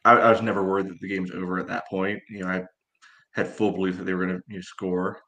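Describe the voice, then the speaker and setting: A man giving casual sports analysis as a podcast panelist, in halting phrases padded with filler. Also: low voice, little pitch movement, sharp tone. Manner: halting, often says "you know".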